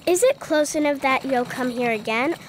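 A woman's voice: high voice, normal speed, slight background noise, natural voice. A female actor playing an earnest, eager Puerto Rican girl violinist in an audio drama.

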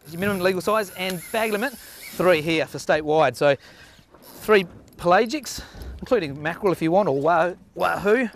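A man's voice: sits high, expressive, normal speed. Australian man hosting fishing on a boat. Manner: chatty, explains tips to camera. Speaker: fishing show host. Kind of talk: casual on-water fishing commentary. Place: small boat out on open sea. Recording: faint boat and sea noise.

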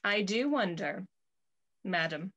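A woman's voice: medium pitch, expressive, slow pace, fairly bright tone, dull audio, a little hiss or hum. A young woman playing a jealous, haughty lady in a theatrical, indignant manner for a Restoration stage comedy. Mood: cold, suspicious. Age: young adult. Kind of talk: Restoration comedy stage acting over video call. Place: small room at home. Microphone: video call.

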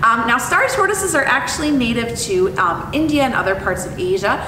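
A young woman presenting as a zoo educator and reading to children in an expressive, animated way. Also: fairly quick. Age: young adult. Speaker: zoo educator and storytime host. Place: indoor room with painted animal mural. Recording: echoey, distant mic with clear rumbling noise.

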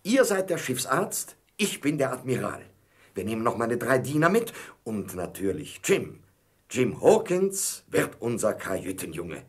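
An actor playing an enthusiastic, self-assured baron with a husky voice and grand, emphatic manner in a German radio play. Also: male voice, mid-range voice, big pitch swings, a little slow. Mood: excited, grand.

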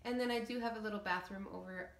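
A woman's voice: mid-range voice, steady tone, fast talker. Lady doing laid back game tour commentary, kinda hesitant n wandering, echoey room. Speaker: video creator showing game settlement builds. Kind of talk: casual walkthrough commentary. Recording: roomy, echoey room sound.

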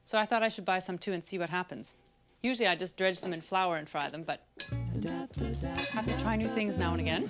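A woman's voice: on the low side, brisk. A woman in her thirties hosting a cooking show, chatting casually as she cooks in a home kitchen. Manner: relaxed, chatty, talking while she cooks. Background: loud music bed and sizzling pan.

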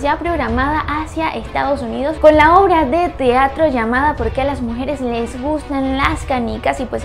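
A woman's voice: sits high, gravelly. A young woman, presenting celebrity gossip news in a chatty, dramatic way with lots of fillers from a studio. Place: studio in front of a screen backdrop.

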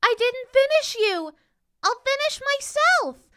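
A tiny storybook princess made of paper, played by a woman, with a thin, very high voice. She is plaintive but brave, pleading in bright, swooping tones. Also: very animated, takes it slowly, heavily acted. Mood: urgent, pleading. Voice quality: thin, squeaky voice. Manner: pleading, exclaiming. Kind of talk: children's storybook character voice.

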